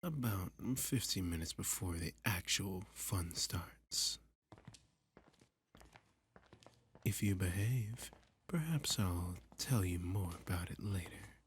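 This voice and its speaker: A man playing a flirty, menacing masked villain in an ASMR roleplay, breathy and husky, often whispering, with a domineering, teasing, condescending manner. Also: low-pitched, animated, unhurried, a little hiss or hum. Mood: commanding, teasing.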